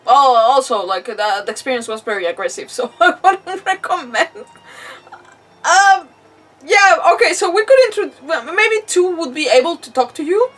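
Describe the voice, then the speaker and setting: High-voiced woman streamer, a little distant. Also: very animated, a bit bright, soft background music. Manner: halting, giggly, thinks aloud. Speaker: game livestreamer. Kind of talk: casual live gameplay commentary.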